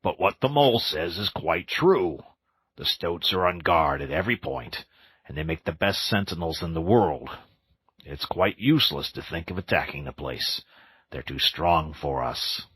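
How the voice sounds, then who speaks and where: A man voicing a stern, wise old male badger in a storybook reading, slow and grave. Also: sits low, expressive, a bit bright, breathy edge, full character voice. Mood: kindly.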